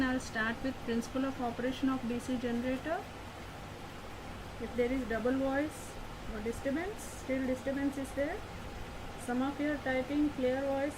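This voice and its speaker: Woman teacher over fan noise. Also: high-pitched. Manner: repetitive, checks on listeners often. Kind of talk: online class lecture. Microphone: laptop mic. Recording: noisy home recording, fan hum behind.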